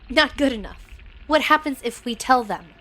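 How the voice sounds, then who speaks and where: A woman's voice: high-pitched, animated, a little slow, fairly bright tone, poor audio. A woman playing a determined, headstrong young crew leader in a sci-fi audio drama, direct and insistent. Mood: demanding.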